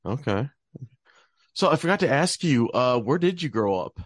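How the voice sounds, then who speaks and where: A man's voice: mid-range voice, sing-song, normal speed, no echo. Friendly podcast host guy from minnesota, mid voice, short replies, dry sound. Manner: friendly, brief, laughs often. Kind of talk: casual interview hosting.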